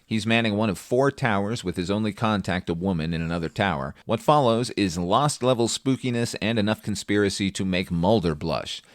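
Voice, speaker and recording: Guy podcaster reviewing movies, kinda low voice, super dry close sound, sardonic and sweary. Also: fairly quick, few pauses, no echo. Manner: wry, profane, opinionated. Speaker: podcast host and film reviewer. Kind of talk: conversational film review with sardonic asides.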